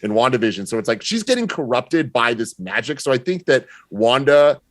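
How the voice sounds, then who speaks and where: A man talking casually as a podcast guest, explaining his opinions in a measured, reasoning way. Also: medium pitch, sing-song, dry recording, clean studio sound. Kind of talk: casual chat, opinion and analysis.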